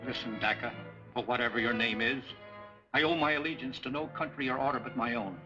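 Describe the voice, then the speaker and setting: An actor playing a defiant, upright American industrialist held captive in a 1940s film serial, speaking earnestly and emphatically. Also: male voice, medium pitch, a little fast, close to an everyday voice. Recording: dull 1940s film serial soundtrack, music.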